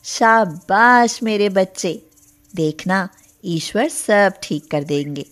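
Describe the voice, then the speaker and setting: A woman voicing the gentle, consoling ghost of a mother cow in an animated moral story. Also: medium pitch, slow, background noise. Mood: tender, consoling. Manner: consoling, earnest.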